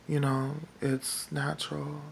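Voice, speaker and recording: A man with a feminine-sounding voice, talking in a rambling vlog monologue that is halting and full of fillers and restarts. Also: fairly high pitch, completely flat delivery, creaky, husky. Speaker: political vlogger. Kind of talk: casual monologue to camera.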